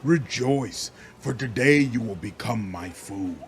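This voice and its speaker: A man voicing a menacing, ravenous insect swarm commander in a fantasy game, slow, pompous and commanding. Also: mid-range voice, lively intonation, a little hiss or hum.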